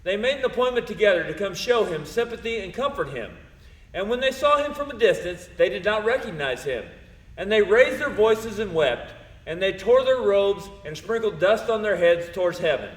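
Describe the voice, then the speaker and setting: A man's voice: high voice, animated, moderate pace. A man narrating a scripted Bible drama in an echoey space. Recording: echoey hall with long reverb.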